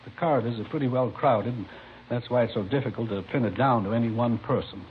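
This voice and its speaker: An actor playing a worried, formal high school principal in an old radio drama, earnest and slightly hesitant, on a muffled, noisy recording. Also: male voice, fast talker, lightly acted. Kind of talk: 1950s radio drama acting.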